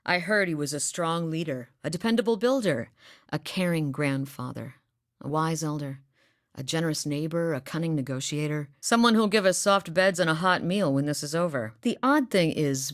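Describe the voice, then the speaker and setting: A woman's voice: deep voice, no room sound, studio quality. A woman voicing a determined young woman hunter, earnest and sincere in a video game. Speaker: voice actor. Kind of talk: video game character dialogue.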